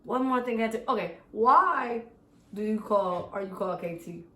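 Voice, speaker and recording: A woman with a medium-pitched voice hosts a casual interview and talks in a relaxed, slangy way. The room is echoey. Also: normal speed. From San Bernardino, California. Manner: relaxed, slangy, laid-back. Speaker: interviewer host.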